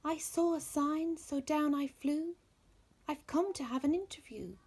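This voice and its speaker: Woman voicing a plucky little bird, raised pitch. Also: measured pace, darkish tone. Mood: eager. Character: small eager red bird, plucky male singer.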